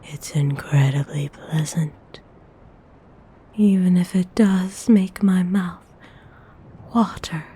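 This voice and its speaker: A woman voicing a gentle, courteous vampire noblewoman in an ASMR roleplay, whispering with breathy, husky, tender formality. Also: lowish voice, slight background noise. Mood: tender, hungry. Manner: slow, hushed, tenderly formal.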